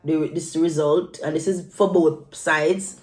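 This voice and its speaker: A woman's voice: low-pitched, steady tone, unhurried, darkish tone. A woman from Jamaica giving casual political commentary, conversational and repeating phrases for emphasis.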